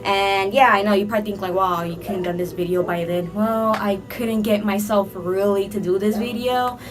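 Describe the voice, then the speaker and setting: A woman's voice: medium pitch, steady tone, moderate pace, poor audio. Chatty young woman vlogger over music. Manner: chatty and rambling, heavy use of "like". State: a little under the weather with a cold. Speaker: beauty vlogger. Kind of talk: casual makeup tutorial chat. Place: indoor room under hot filming lights. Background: background music.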